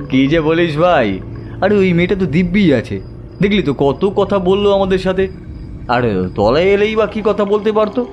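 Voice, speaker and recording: Young city friend, sceptical, high voice, horror audio story, loud music. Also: male voice, lively intonation, measured pace, highs cut off. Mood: dismissive.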